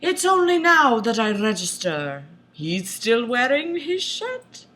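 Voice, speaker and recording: A woman's voice: a little high, sing-song, slow pace, bright, forceful tone. A woman narrating a novel as a comedic mock-dramatic reading, with theatrical, exaggerated emphasis.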